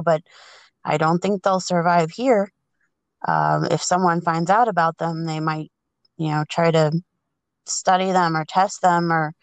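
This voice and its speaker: Unhurried woman improvising a story, hesitant. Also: deep voice, dry recording. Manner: hesitant, halting, groping for words. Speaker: storyteller on a podcast.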